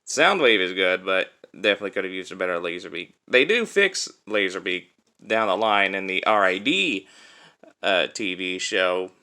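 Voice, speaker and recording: A man's voice: low-pitched, animated, normal speed, pushed and bright, clear, resonant tone. A man giving a casual hands-on demonstration as a toy reviewer, explaining things step by step.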